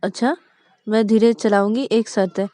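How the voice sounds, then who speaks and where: A cocky young woman behind the wheel, as a storyteller puts her on, coaxing and teasing in a slightly raised, mellow voice. It is recorded dry and close. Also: a little high, steady tone, moderate pace, no echo, pitched above the performer's own voice, natural voice.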